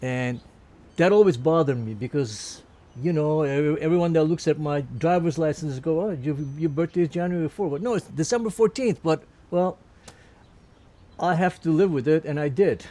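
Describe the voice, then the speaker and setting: Older interviewee, a touch high-voiced, halting and rambling as he reminisces. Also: mellow. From Turkey. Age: 70s. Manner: hesitant, many fillers and restarts, chuckling. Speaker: interviewee, musician. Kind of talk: casual life-story interview.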